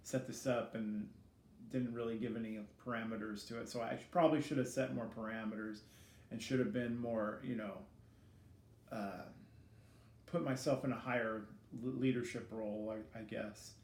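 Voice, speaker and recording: A man's voice: on the low side, fairly even intonation, darkish tone. Middle-aged male interviewee, hesitant and reflective, casual delivery; echoey room, faint background noise. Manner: hesitant, halting, self-critical. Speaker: student teacher being interviewed.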